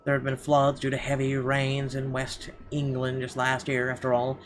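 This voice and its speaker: A man playing a game on stream, reading its narration aloud haltingly and reacting casually and bluntly. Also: medium pitch, monotone. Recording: clearly audible background noise.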